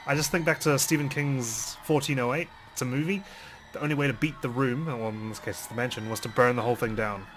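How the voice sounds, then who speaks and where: A man's voice: medium pitch, fast, a bit bright, slight background noise. A man hosting a let's play, reading a visual novel aloud dramatically and commenting on it.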